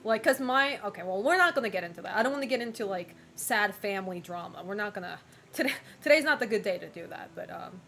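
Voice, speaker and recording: A young woman streamer in casual chat, frank and talkative, laughing often and filling pauses with "like" and "um". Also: medium pitch, expressive, gravelly, fairly bright tone, slight background noise. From United States and Japan. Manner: chatty, frank, laughs often, many fillers. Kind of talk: casual chat reading viewer messages.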